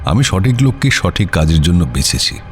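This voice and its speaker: An actor playing a courteous, uneasy landed gentleman in a radio drama, speaking in a measured, slightly hesitant way. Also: sits low, big pitch swings, soft background music. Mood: courteous, assured. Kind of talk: Bengali radio audio drama acting.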